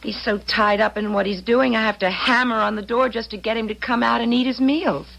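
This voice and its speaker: A woman's voice: on the high side, steady tone, a little fast, gravelly, fairly bright tone, highs cut off. A young American woman acting in an old-time radio drama as a worried, protective daughter, earnest and pleading. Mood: worried, urgent. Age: young adult.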